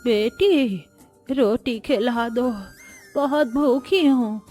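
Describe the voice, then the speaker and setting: A woman voicing a gentle old beggar woman in a Hindi cartoon moral story, speaking slowly and kindly. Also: on the high side, soft background music. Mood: humble pleading. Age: older.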